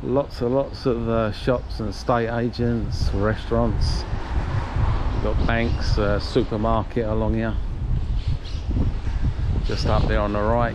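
A man giving a relaxed, chatty walking tour of an outdoor shopping plaza, talking while he walks with his camera. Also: lowish voice, measured pace. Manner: relaxed, chatty, pointing things out. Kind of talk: casual walking tour narration. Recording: noisy outdoor street recording.

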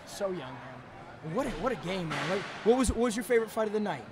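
A young man with a hoarse voice hosts a casual podcast at a rapid clip. He is excitable and slangy. A busy venue crowd surrounds his high-pitched voice. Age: young adult. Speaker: podcast host. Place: indoor event venue, at a table. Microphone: podcast microphone on boom arm.

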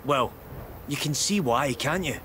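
A man's voice: medium pitch, animated, measured pace, bright, forceful tone, a little hiss or hum. A British man playing a friendly, laid-back local guide in a video game, narrating casually with a wry, conversational manner. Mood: relaxed, wry. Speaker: in-game tour guide character.

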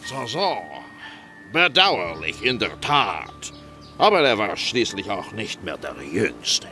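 An actor playing a hot-tempered, greedy and scheming gang leader in an audio drama, theatrical and drawn-out. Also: male voice, fairly low pitch, big pitch swings, bright, forceful tone, a little hiss or hum, exaggerated voice. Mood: sly, hushed.

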